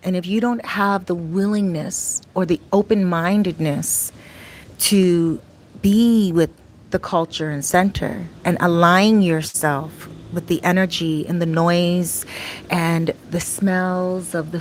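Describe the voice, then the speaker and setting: A middle-aged woman vlogging casually about her life abroad, chatty and rambling, her voice a little raspy and often clearing her throat. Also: lowish voice. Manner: chatty, rambling, with many fillers and restarts. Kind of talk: casual talk to camera. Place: her home in a Dominican neighborhood.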